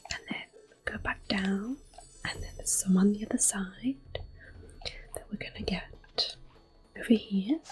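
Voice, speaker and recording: A woman giving gentle, mostly whispered ASMR commentary as she hosts a video game playthrough. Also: medium pitch, low-quality recording. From Europe. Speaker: host of a gameplay series. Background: quiet game music and controller clicks.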